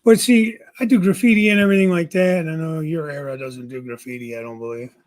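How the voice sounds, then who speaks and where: A man with a raspy voice hosting a casual livestream from home, greeting viewers by name and chatting. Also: a little high, quick pace. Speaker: livestream host.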